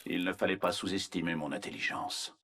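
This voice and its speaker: An actor playing a cold, arrogant, menacing scientist villain in a video game, speaking in a measured, condescending, clinical manner with a husky voice. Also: male voice, low voice, sharp tone, slight background noise. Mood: detached menace. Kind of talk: scripted video game character voice lines.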